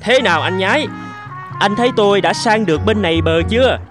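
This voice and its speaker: A man's voice: high voice, expressive, fairly quick, over music. A man voicing a boastful, cocky frog in a cartoon fable, talking in a bragging, scornful manner.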